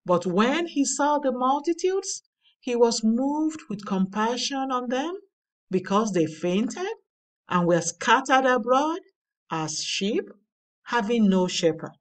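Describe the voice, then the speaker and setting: A woman reading a daily devotional aloud in a measured, earnest way. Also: fairly high pitch, very animated, distant mic. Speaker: devotional reader. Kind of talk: slow scripted devotional reading. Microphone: voice-over over slides.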